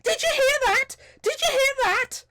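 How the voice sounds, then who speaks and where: A woman voicing a tiny, excitable male magical being, blurting and gushing. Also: a little high, slow, no echo, studio quality, voice pushed up. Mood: ecstatic.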